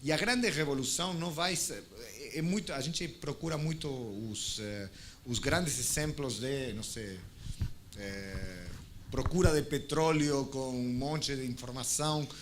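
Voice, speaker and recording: A man from Argentina with an Argentine Spanish accent, speaking on a conference panel, halting with frequent restarts and fillers. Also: mid-range voice, slight background noise.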